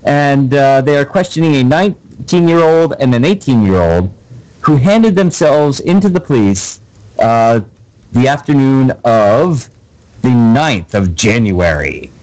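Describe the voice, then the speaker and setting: A man's voice: medium pitch, expressive, measured pace, a little mellow, rough recording. A man co-hosting a casual radio show, reading news items in a dry, hesitant way with lots of fillers.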